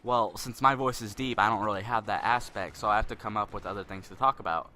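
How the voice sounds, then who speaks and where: A young man with a deep voice giving rambling, spontaneous commentary over gameplay, a little self-conscious. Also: fast talker, sharp tone, slight background noise.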